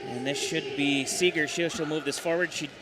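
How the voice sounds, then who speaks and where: A man calling a hockey game play-by-play, rattling off each pass and shot at a brisk clip. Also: fairly high pitch, background noise. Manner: brisk, rattling off puck movement, excited on goals. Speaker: play-by-play announcer.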